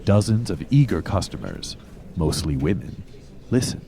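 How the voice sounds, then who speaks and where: A man's voice: deep voice, very animated, normal speed, dark tone. A man giving dramatic, theatrical storyteller narration for a comedy audio drama.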